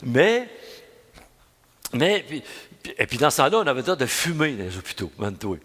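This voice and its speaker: An older man with a Quebec French accent delivers a homily in a rambling, homespun storytelling style. His voice sits at a middle pitch and rings out with the long reverb of a church. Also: sing-song, moderate pace, a bit bright. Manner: folksy storytelling, many restarts and "hein?". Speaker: priest. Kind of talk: homily at mass.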